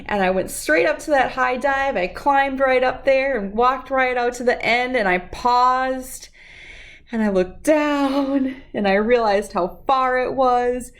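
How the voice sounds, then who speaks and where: A woman speaking as a children's read-aloud host, expressive and storybook-like, with drawn-out emphasis. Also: sits high. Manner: slow, animated delivery with drawn-out emphasis for young listeners.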